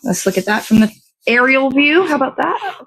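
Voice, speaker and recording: A woman's voice: high-pitched, big pitch swings, brisk, fairly bright tone. A woman hosting a casual hands-on cake decorating demo, talking to viewers and half to herself in short fragments as she works. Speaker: show host.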